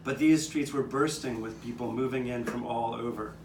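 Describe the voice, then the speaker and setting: A man playing an old street cat who reminisces in a measured, reflective way, as scripted narration for a live puppet show. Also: medium pitch, steady tone, a little mellow, lightly acted. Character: old male street cat, reminiscing storyteller with nine lives. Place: outdoor courtyard. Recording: distant, echoey live taping with background noise.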